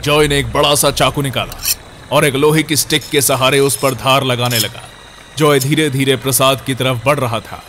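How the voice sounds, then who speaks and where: Male horror story narrator, mid pitch. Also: fairly even intonation, moderate pace, fairly bright tone, slight background noise.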